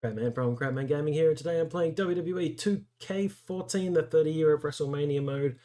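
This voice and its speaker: A man hosts a gaming video with casual commentary on what he plays. His voice sits a little higher than most men's. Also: a little fast, mellow.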